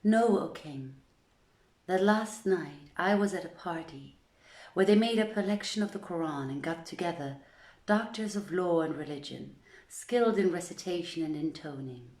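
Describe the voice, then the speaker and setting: A woman reading an old literary tale aloud in a deliberate, theatrical storytelling manner with measured phrasing. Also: lowish voice, a little mellow. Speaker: storyteller, reader. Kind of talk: dramatic scripted storytelling, archaic literary narration. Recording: roomy, echoing voice recording.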